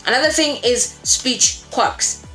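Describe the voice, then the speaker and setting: A woman instructor explaining a lesson in an expressive way, repeating words for emphasis. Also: high voice, takes it slowly. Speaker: voice acting instructor. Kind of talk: explanatory lesson on creating character voices. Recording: roomy echo, faint music behind.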